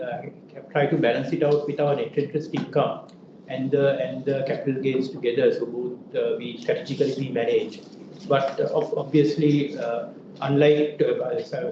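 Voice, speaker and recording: A man giving a hesitant, measured financial answer as a bank treasury head. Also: medium pitch. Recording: muffled, echoey, noisy call audio.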